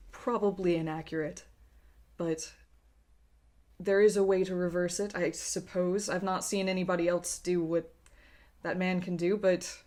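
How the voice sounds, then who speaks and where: A woman voicing a grave, resigned man who speaks in a quiet, measured, serious way in a tabletop game. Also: fairly low pitch, lightly acted.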